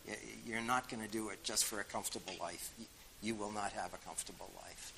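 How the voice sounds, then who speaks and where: A man's voice: lowish voice, fairly even intonation, quick pace, vocal fry, noisy background. An older man with a Boston accent answering questions in a frank, wry way with many fillers. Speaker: guest speaker answering audience questions. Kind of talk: casual spoken reply.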